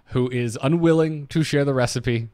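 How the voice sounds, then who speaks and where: A man's voice: mid-range voice, normal speed, a little mellow, no echo, clean studio sound. A man co-hosting a podcast, talking informally and with enthusiasm as he recaps and discusses game lore as a fan. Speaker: podcast co-host.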